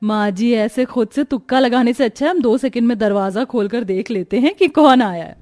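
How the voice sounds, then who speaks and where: A woman's voice: fairly high pitch, moderate pace, close to an everyday voice. A woman playing a kind, dutiful young elder daughter-in-law in a moral story, speaking politely and soothingly. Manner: polite, gentle, placating. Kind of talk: dramatised moral story acting.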